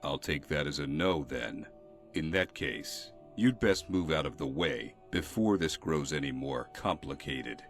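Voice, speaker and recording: A man's voice: a bass voice, lively intonation, a little slow, faint music behind, exaggerated voice. A man acting a weary, stern city guardsman in a video game, dry and exasperated.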